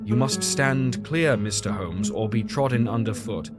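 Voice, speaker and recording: An actor playing a fussy, caring Victorian gentleman doctor in an audio drama, with earnest, old-fashioned formal speech. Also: on the low side, measured pace, over loud music, natural voice. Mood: grave, troubled.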